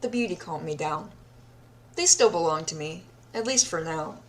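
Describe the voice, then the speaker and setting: Woman acting a low-voiced, deranged lovestruck captor. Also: lively intonation, normal speed, heavy background noise. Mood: cold, subdued. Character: deranged, obsessive yandere captor, sadistic yet childlike and lovestruck. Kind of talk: audio roleplay monologue, recorded diary confession.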